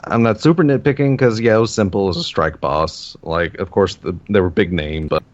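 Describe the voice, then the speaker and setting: Low-voiced male podcast co-host, rambling and casual, dry lo-fi mic. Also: brisk, no room sound. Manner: rambling, many fillers and restarts. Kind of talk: casual gaming podcast chat.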